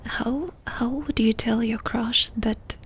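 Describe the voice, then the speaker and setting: A woman doing ASMR in a breathy whisper, softly and intimately asking questions with pauses between them. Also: a little high, fairly even intonation, a little hiss or hum. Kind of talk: whispered ASMR personal-attention roleplay.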